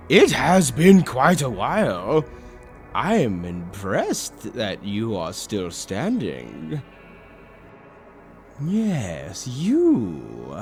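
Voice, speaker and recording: A man's voice: on the high side, a little mellow, exaggerated voice. A man voicing a suave, theatrical demonic bird tempter in a roleplay audio, drawling with mockingly polite, condescending villainy. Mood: intrigued, gloating. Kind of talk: scripted character roleplay audio.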